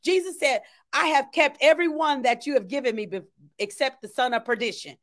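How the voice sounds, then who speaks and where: A woman's voice: high-pitched, brisk, fairly bright tone. A middle-aged woman preacher delivering an impassioned sermon, exhorting emphatically and repeating phrases for weight, recorded in a room at home. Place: small home room, indoors.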